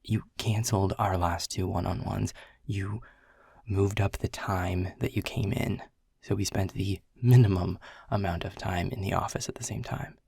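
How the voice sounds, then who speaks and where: A man's voice: low-pitched, steady tone, normal speed, darkish tone, dry recording, clean studio sound, full character voice. A man playing a world-weary, prickly male middle manager in a second-person audio roleplay, blunt and sarcastic, swearing often. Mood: irritated. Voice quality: gravelly, husky.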